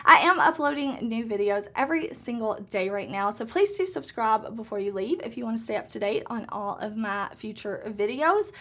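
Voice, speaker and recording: A woman, a little hoarse, chatting upbeat to viewers while doing her makeup. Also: on the high side, expressive, normal speed, hardly any pauses, a bit bright. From Southern United States. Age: 30s. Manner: chatty and upbeat, laughs often. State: slightly hoarse. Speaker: beauty vlogger. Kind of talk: casual get-ready-with-me makeup chat.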